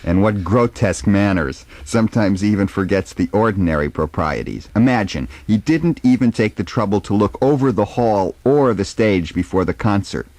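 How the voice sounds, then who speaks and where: A man reading the part of a gossipy, scornful high-society concertgoer in an emphatic dialogue reading. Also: animated, moderate pace, few pauses, darkish tone, dry recording, lightly acted. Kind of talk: informal dramatic reading of a dialogue.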